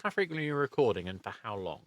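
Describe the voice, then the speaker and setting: A man's voice: medium pitch, big pitch swings, very fast, dry recording. A man hosting a casual interview, thinking aloud and rephrasing his questions as he goes. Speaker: podcast host.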